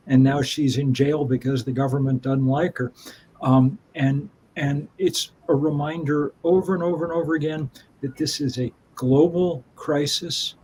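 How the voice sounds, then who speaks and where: A man's voice: on the high side, darkish tone, a little hiss or hum, rough recording. A man speaking as a panelist on a video call, earnest and conversational, with restarts.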